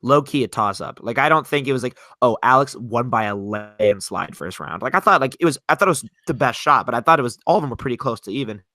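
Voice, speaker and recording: A man's voice: medium pitch, lively intonation, fast, few pauses, pushed and bright, dry recording, clean studio sound. A nineteen-year-old man co-hosting a casual podcast, chatty and rambling with lots of "like". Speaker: podcast co-host. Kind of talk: casual chat. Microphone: home mic, talking online.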